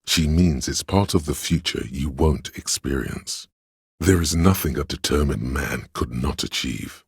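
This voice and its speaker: A man voicing a detective's pretentious, arty inner voice for a video game, theatrical and deliberate, with a gravelly, husky tone. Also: rumbling low, no room sound, clean studio sound, full character voice. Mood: wry, reflective. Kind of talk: video game narration, second-person commentary.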